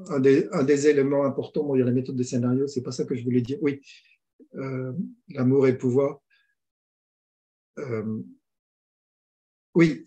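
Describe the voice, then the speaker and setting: Hesitant French mediator, mellow voice. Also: male voice, medium pitch. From France. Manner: hesitant, many restarts and fillers, storytelling. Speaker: mediator guest. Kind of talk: conversational interview.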